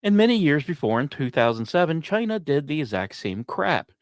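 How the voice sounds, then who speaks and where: A man delivers scripted science commentary as a podcast host, with a lively, swooping intonation. He leans on key phrases dramatically and slips in informal asides. The sound is dry and close, with very little room. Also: fairly high pitch, a little fast, no echo.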